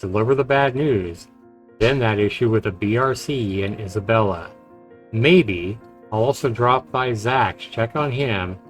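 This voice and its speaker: Low-pitched man streaming a game. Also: fairly bright tone. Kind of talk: reading visual novel narration aloud with casual commentary. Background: game music.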